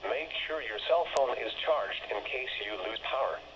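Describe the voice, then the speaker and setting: A synthetic-sounding man's voice reads a scripted weather bulletin over the radio in a formal, flatly informative, segmented way. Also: mid-range voice, normal speed. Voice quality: synthetic text-to-speech voice. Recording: muffled, noisy weather radio reception.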